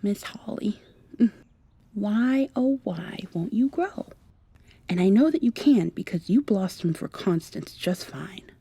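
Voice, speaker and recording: A woman in an ASMR roleplay playing a gentle, wry young witch who coaxes her plants in a soft-spoken way. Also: mid-range voice, animated, slow pace, slightly rough, heavily acted. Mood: gentle, pleading.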